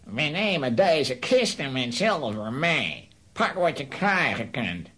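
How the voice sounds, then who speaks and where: An actor playing a ruthless, commanding villain who barks threats and orders in a children's radio play. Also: male voice, medium pitch, sing-song, slow, bright, forceful tone, heavily acted. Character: menacing, commanding male robber chief, ruthless villain.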